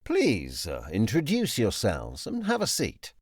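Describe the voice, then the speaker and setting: A man's voice: on the low side, huge pitch swings, husky, dry recording, clean studio sound, pitched above the performer's own voice, heavily acted. Man voicing an imperious necromancer lord with sweeping intonation. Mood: courteous, controlled. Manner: formal, measured, politely menacing. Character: composed, imperious necromancer lord, mature male. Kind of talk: audiobook character acting.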